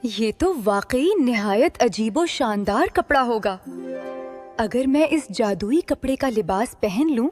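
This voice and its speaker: A vain, commanding young princess from a storybook , acted by a woman. Her voice sits fairly high, and light music plays underneath as she speaks. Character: vain, imperious young princess of a fairy tale. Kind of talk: dramatised fairy tale acting.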